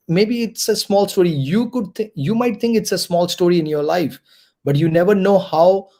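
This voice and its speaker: A man with a slightly high, mellow voice leads an online career workshop for students. He coaches patiently, repeats his key points and keeps checking in with the audience. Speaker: mentor presenting a career workshop.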